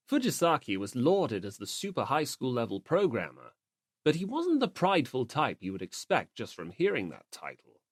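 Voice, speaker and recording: A man reading a story aloud as an audiobook narrator, in expressive scripted narration. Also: medium pitch, dry recording, studio quality.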